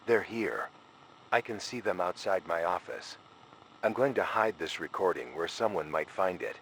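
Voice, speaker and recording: A man performs a scholar recording a last warning for an analog horror piece. The voice is low and forceful, grave and ominous, with deliberate, urgent phrasing. Faint noise sits behind it. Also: expressive, low-quality recording. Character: frightened scholar leaving a grim final warning recording. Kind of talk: analog horror scripted monologue.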